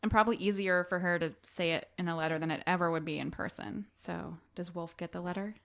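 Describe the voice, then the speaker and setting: A woman's voice: fairly low pitch, fairly even intonation, fast, vocal fry, fairly bright tone. A woman co-hosting a podcast, chatting casually and playfully about a book. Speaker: podcast co-host.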